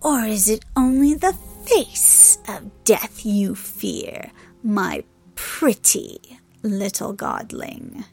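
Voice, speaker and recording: A woman playing a cruel, menacing goddess of the dead in an audiobook, with a raspy, whispery hiss and sardonic delivery. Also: mid-range voice, lively intonation, darkish tone, soft background music, heavily acted. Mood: menacing, sneering. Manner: sneering, sardonic, drawn-out menace.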